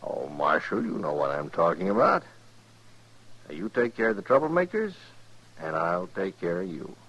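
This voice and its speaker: An actor playing a sly, presumptuous gambler with an ingratiating, insinuating manner in a 1950s radio western. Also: male voice, low-pitched, sing-song, moderate pace, fairly bright tone, breathy edge, full character voice. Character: slick, presumptuous male gambler, oily and sly. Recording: muffled 1950s radio recording.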